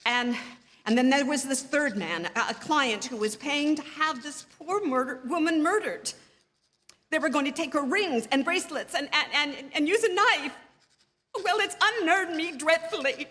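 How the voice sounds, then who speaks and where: Casting: woman playing high-pitched, imperious invalid wife, nervy and stammering, classic radio suspense, performed in a reverberant theatre. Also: expressive, moderate pace, fairly bright tone. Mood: frantic. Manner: agitated, stammering, haughty, indignant. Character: nervous, imperious bedridden wife, fretful and insistent. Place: live theatre stage. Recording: long hall reverb from theatre stage.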